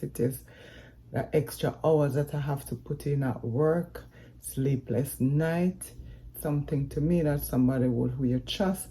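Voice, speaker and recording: Woman, Jamaican accent, husky, slow unhurried talk, earnest vlog chat. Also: very deep voice, mellow. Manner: earnest, repetitive, addresses viewers as guys. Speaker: vlogger. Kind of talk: casual vlog update.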